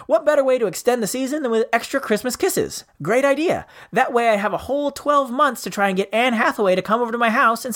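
A man's voice: sits high, a bit bright. A man hosting a podcast, reading listener feedback with jokey, self-mocking asides. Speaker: podcast host. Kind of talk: scripted podcast segments.

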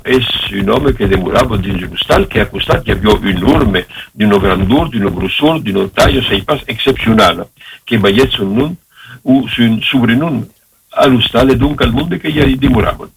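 A man with an Occitan accent from southwest France explaining the origin of family names on the radio, slowly, with many pauses and fillers. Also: medium pitch, creaky, a little hiss or hum, low-quality recording. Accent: Occitan-speaking southwest France.

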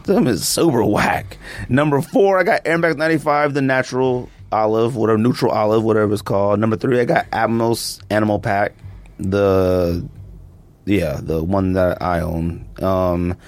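A man co-hosting a casual podcast, talking in relaxed slang and getting animated as he counts down his favorites. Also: lowish voice, normal speed. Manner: laid-back slang, animated when counting down. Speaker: podcast co-host. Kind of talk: casual chat about sneakers.

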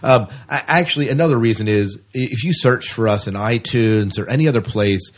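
A man with a fairly low, slightly rough and husky voice co-hosts a fan podcast. He talks casually and chattily, with a jokey, bantering manner. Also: fairly quick. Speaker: podcast co-host.

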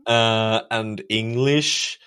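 A man's voice: on the low side, steady tone, very slow, sharp tone, smooth. A man with a Swedish accent hosting a podcast, easygoing and agreeable in casual chat. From Sweden. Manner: easygoing, agreeable, many yeahs. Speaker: podcast host.

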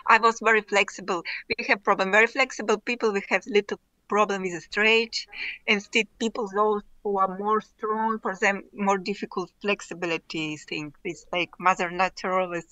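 Bright-voiced Russian-accented woman guest. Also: medium pitch, fairly even intonation, no echo, poor audio. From Ukraine. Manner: halting non-native English, frequent restarts, laughs often. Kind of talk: casual interview chat.

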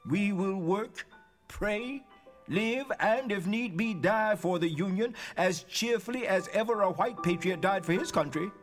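High-voiced, dignified freedman orator. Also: unhurried, faint music behind. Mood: earnest, resolute. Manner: formal, emphatic oratory, building through lists. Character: earnest, dignified 19th-century freedman pleading for rights. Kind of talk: theatrical dramatic reading of historical documents.

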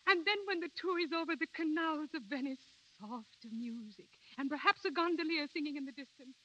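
An actress playing an imperious, romantic opera star in a theatrical, gushing 1930s radio style. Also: female voice, high-pitched, fairly quick, sharp tone, dull audio. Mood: dreamy. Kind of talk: 1930s radio play acting. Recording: noisy, hissy 1930s radio recording.

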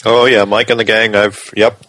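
A man with a fairly low voice co-hosts a podcast. He chats casually and agreeably, and the recording is very dry, with no room sound. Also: level tone, moderate pace, pushed and bright, no room sound. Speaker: podcast co-host. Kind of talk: casual chat.

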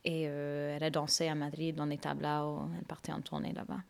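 A woman from Canada, a flamenco dancer, answering radio interview questions softly with many hesitations. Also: low voice, completely flat delivery, brisk, creaky. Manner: soft-spoken, many hesitations, light laughs.

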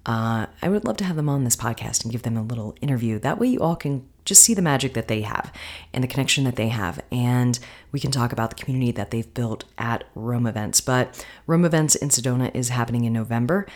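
A woman hosting her podcast, talking casually and encouragingly with a husky voice gone hoarse from singing. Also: quick pace, darkish tone. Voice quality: husky, deeper than usual. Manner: chatty, encouraging. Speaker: podcast host. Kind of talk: casual solo podcast talk.

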